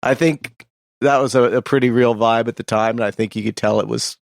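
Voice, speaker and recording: A man's voice: medium pitch, fairly even intonation, a little fast, creaky, dry recording, studio quality. A man co-hosting community radio, chatting casually in an offhand, rambling way. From Canada. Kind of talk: casual chat.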